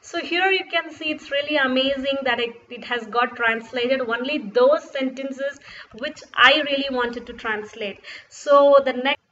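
A woman whose first language is Urdu, walking through a screen-recorded software tutorial step by step with fillers and restarts. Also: sits high, unhurried. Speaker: tutorial presenter. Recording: dull lo-fi sound with faint noise.

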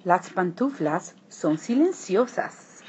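Slow, lively woman reading a picture book. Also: fairly low pitch. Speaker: read-aloud narrator. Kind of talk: children's picture book read-aloud. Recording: dull lo-fi recording, clear background noise.

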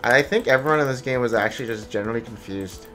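A man's voice: mid-range voice, quick pace, fairly bright tone, faint music behind. A man chatting casually and teasingly in slang as he plays an online team game. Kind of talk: casual gaming banter.

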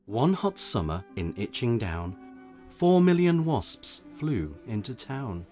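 Slow, animated man reading a storybook. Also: mid-range voice, faint music behind. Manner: theatrical, measured storytelling. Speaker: audiobook narrator. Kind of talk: expressive children's storybook reading in verse.

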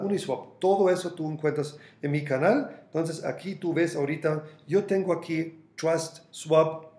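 Echoey, animated middle-aged man explaining to camera. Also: a little high. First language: German. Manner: animated, gestures with both hands while explaining. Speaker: crypto channel host. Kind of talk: explanatory video talk to camera. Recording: echoey room.

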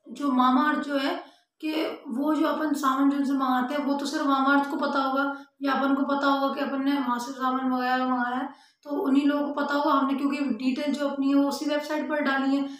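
A young adult woman, explaining things casually and directly to her viewers as a vlogger. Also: high-pitched. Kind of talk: casual awareness talk to camera. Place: small room at home. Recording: very echoey room, faint noise.

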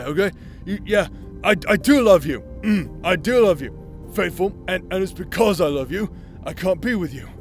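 Man playing a high-pitched, stammering drunk villain brother. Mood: tearful, tender. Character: drunk, self-loathing, protective villainous young brother, foul-mouthed. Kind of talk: emotional audio roleplay acting.

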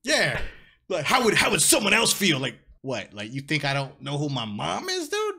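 A young man joking loudly as co-host, speaking on a gaming headset. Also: fairly high pitch, huge pitch swings, moderate pace, vocal fry, a little hiss or hum. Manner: joking, loud quips. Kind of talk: casual reaction chat.

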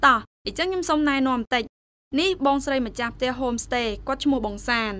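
A woman playing a brisk, helpful young group coordinator in a radio drama. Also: sits high, animated, fairly bright tone.

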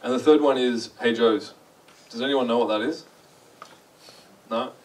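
A young man with an Australian accent talks casually to a conference crowd, joking at his own expense and asking questions. His voice sits at a middle pitch. The recording places him a little back from the mic in a large dark lecture hall. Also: a little slow, distant mic. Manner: chatty, self-deprecating, asks the audience questions. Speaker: conference speaker.

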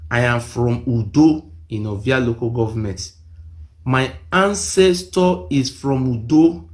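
A middle-aged Nigerian man with a Nigerian accent, speaking emphatically to a phone camera in a small room, repeating his claims insistently. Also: mid-range voice. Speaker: self-made video speaker. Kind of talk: impassioned monologue to camera. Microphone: phone or webcam close to face.

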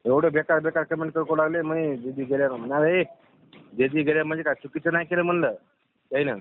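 A man's voice: fairly high pitch, steady tone, a little fast, a little hiss or hum. Defensive man on a tinny phone call. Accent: rural Marathwada Marathi. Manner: defensive, placating, deferential. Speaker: caller, local party worker. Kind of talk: phone conversation.

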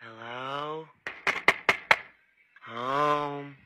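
A man voicing an odd puppet in a comic skit, halting and deadpan. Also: mid-range voice, over loud music. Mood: stilted, deadpan. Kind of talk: fan impression in short comic skit. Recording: loud noise with clicks and knocks.

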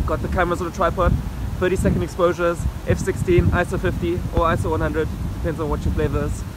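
A man in his thirties filming himself at arm's length outdoors in the rain, talking upbeat and quick about his photo setup. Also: on the high side, flat delivery. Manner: upbeat, quick, talks to camera while filming. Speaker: photography vlogger. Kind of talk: casual outdoor vlog talk. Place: rainy city rooftop and street at night, outdoors. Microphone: camera held at arm's length. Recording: loud wind and traffic noise outdoors. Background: loud wind, rain and traffic.

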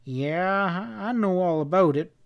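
A man's voice: high-pitched, measured pace, lo-fi sound, voice pushed up. A man voicing an anxious, stubborn frontier husband in a storybook western, with rustic, hesitant, stammering talk. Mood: uneasy, defensive.

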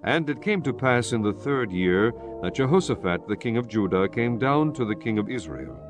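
A man's voice: medium pitch, animated, moderate pace, music in the background. A man narrating scripture in a solemn, formal reading style for a dramatized Bible.

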